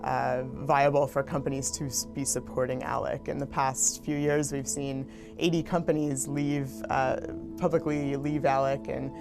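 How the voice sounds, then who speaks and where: A woman answering interview questions off the cuff, with halting, hesitant phrasing. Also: a bass voice, little pitch movement, vocal fry. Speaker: interview guest. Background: guitar music.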